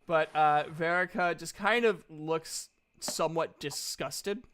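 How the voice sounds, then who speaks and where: A man's voice: on the high side, slow pace, a bit bright, clean tone. A man running a tabletop game, switching between casual rules chat and informal narration as game master.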